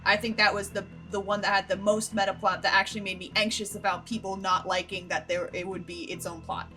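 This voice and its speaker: A woman in a casual group question-and-answer chat as a podcast creator, joking and swearing freely in an animated way. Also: mid-range voice, fast, faint music behind.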